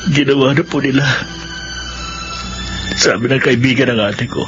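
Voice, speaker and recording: A man's voice: mid-range voice, fairly even intonation, moderate pace, music in the background, natural voice. A man playing a troubled, remorseful young man. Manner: respectful, anguished, intense. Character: troubled, remorseful younger brother burning for revenge. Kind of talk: radio drama acting. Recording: dull lo-fi radio drama recording.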